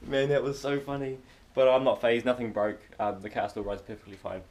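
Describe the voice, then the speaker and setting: Hoarse young New man vlogging. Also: mid-range voice, level tone, brisk, a little mellow. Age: young adult. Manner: chatty, upbeat, filler-heavy. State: hoarse with a cold. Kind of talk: casual vlog chat to camera. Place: living room at home, indoors.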